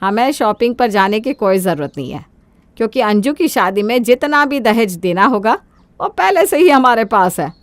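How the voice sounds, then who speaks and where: A woman's voice: a little high, very animated, normal speed, no room sound. A woman voicing a greedy, domineering older mother-in-law in a cartoon moral story, scolding and brazen. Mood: smug, scheming.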